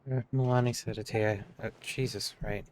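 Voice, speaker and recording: A man's voice: lowish voice, steady tone, normal speed, a little mellow. A man livestreaming a game, giving casual, offhand commentary in half-finished muttered remarks.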